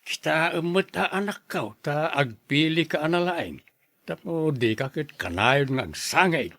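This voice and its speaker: A man's voice: fairly high pitch, animated, a little slow, gravelly, fairly bright tone, no echo, heavily acted. A man acting a protective middle-aged father who advises his daughter earnestly in an Ilocano radio drama. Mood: advising.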